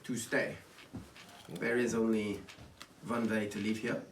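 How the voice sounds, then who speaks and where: Man doing a lordly vampire count, smug and menacing, fake French lilt, low and slow, echoey room, off-mic. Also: level tone, close to an everyday voice. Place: game store. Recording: roomy, distant mic, faint noise.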